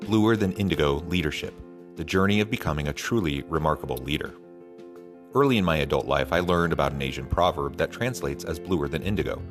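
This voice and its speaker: A man with a deep voice reads a scripted promo. Clear music plays behind him as he narrates. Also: brisk. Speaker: podcast host reading promos.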